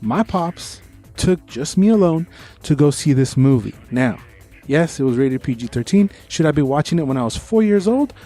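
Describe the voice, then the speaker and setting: A man's voice: on the high side, lively intonation, moderate pace, warm tone, soft background music. A man co-hosting a podcast, giving opinionated, informal review chat with bits of trivia. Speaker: podcast co-host.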